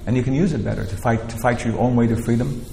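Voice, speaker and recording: A man's voice: medium pitch, steady tone, fast talker, vocal fry, mellow, a little hiss or hum. A man teaching a scripture class in a rambling, informal way, full of "you know" and restarts. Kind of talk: informal spiritual lecture. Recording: roomy hall with long echo, faint ambience.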